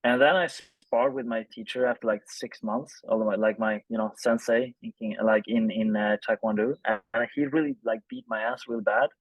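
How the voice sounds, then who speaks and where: A man, telling his story casually and haltingly as an interview guest, with informal language. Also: mid-range voice, dry recording, muffled recording. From Sweden. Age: 30s. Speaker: interview guest, film director.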